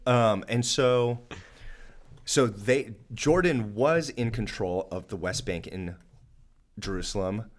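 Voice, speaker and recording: A man's voice: lowish voice, slow. A man from Georgia with a Southern accent, co-hosting a church podcast and casually explaining history. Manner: animated, acts out voices of nations jokingly. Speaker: podcast co-host.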